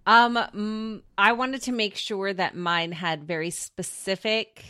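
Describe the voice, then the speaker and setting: Bright, unhurried woman panelist. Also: mid-range voice, fairly even intonation, clean tone, dry recording. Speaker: game show panelist. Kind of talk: casual game banter.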